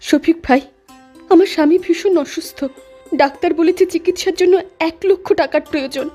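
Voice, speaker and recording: A woman's voice: very high-pitched, moderate pace, mellow, faint music behind. A woman voicing a desperate, headstrong younger sister in a cartoon with earnest, dramatic delivery. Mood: pleading.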